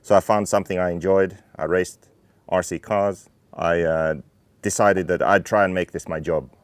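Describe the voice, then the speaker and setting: A man in his thirties from Finland, a racing team owner calmly explaining his car in technical detail. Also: sits low, moderate pace, clear, resonant tone, dry recording. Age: 30s. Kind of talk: interview talk about his car.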